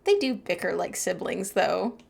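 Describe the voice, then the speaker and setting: A woman's voice: fairly low pitch, sing-song, normal speed, vocal fry. A woman gaming streamer giving casual playthrough commentary and reading out dialogue choices.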